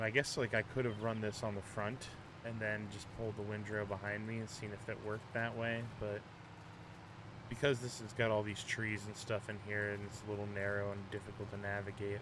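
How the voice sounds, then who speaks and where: A man with a lowish, congested voice talks through his gameplay in an easygoing, self-deprecating ramble. His delivery stays flat and even. A steady engine rumble from the game runs clearly beneath him. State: slightly congested. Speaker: gaming channel host. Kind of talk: casual gameplay commentary.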